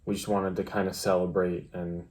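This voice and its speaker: A young man co-hosts a casual storytelling vlog. His voice is deep, with very little rise and fall. He talks in a laid-back, plain-spoken way. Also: little pitch movement, normal speed, darkish tone. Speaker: vlog co-host.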